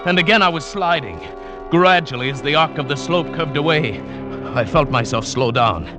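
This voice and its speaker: An actor narrating as a climber who survived a great fall, telling it dramatically in first person in an old radio drama, with music behind him. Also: male voice, fairly high pitch, animated, moderate pace, lightly acted. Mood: tense. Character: adult male climber recounting his fall. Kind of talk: 1940s radio acting.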